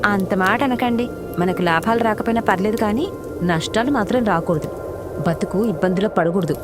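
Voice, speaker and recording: A worried pigeon wife from a bird cartoon, voiced by a woman. She speaks hesitantly and obediently, with clear music underneath. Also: mid-range voice, moderate pace, close to an everyday voice. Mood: anxious. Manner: hesitant, deferential.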